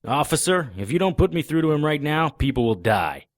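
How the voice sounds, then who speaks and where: A man playing a wry, laconic private detective in a noir audio drama, with deadpan delivery. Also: on the high side, fairly quick, dry recording, studio quality. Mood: urgent, firm.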